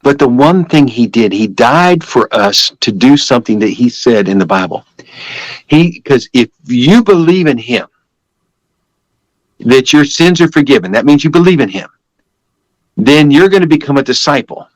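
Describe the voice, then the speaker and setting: A man's voice: mid-range voice, expressive, moderate pace, no echo, poor audio. An older man giving a personal religious testimony as a guest, telling it in an emphatic, repetitive, conversational way.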